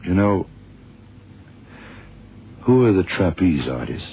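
An actor playing a dogged senior investigator, insistent and deliberate, in a 1970s radio drama. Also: male voice, low voice, big pitch swings, husky, full character voice. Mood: intent. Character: dogged, hunch-driven senior male investigator, dryly authoritative. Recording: tinny vintage radio recording with music.

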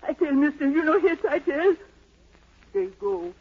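A woman's voice: high-pitched, expressive, measured pace, dull audio. An actress playing a frightened old island woman who pleads in broken English in a 1940s radio drama. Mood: fearful, cowed.

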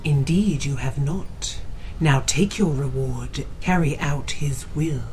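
A cold elder of a demonic order delivers orders in a breathy whisper, as an actor voices the part. The pace is slow and deliberate. Faint noise hangs underneath. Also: mid-range voice, darkish tone, lightly acted. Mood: commanding.

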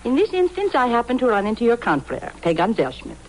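A woman's voice: fairly high pitch, wildly swooping pitch, quick pace, a little mellow, heavily acted. An actress playing a cool, sardonic young foreign newswoman in a vintage radio drama, arch and teasing. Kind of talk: 1950s radio drama acting. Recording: noisy old radio recording.

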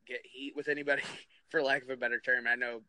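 Jersey guy in his 30s hosting a podcast, swears a lot, laughs easy, super bright voice. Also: mid-range voice, little pitch movement, fast, no echo. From New Jersey. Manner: loose and profane, laughs often. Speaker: podcast host. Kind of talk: casual chat.

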